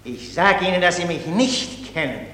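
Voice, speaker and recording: Shrill, bullying army lieutenant. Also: male voice, lively intonation, moderate pace. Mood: menacing, exasperated. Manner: bullying, insistent, sharp. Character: irritable, threatening, self-important army lieutenant. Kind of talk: German radio drama acting. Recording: echoey hall with long reverb.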